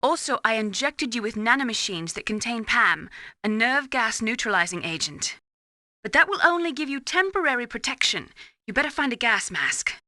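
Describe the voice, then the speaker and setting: A cool, clinical scientist in a video game. Her voice is fairly high and crisp-edged, measured and precise, composed with something held back, in very dry sound. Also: lively intonation, pushed and bright, no echo. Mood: clinical, informative.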